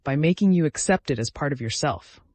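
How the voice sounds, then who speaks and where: A man's voice: on the high side, expressive, fairly quick, a little mellow, dry recording. A man voicing a fierce, protective female companion in a fantasy story, firm and emphatic. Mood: defiant.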